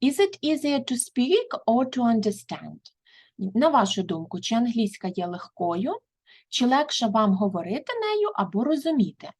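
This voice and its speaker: A woman teaching an English lesson, reading each word and then giving its translation, in a steady explaining manner. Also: fairly high pitch, moderate pace, husky.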